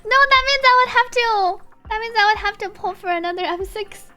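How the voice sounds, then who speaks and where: A woman streaming a game in a very high voice. She chats casually and in a giggly way, reacting and reading subtitles out loud, with faint music behind her. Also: fairly quick.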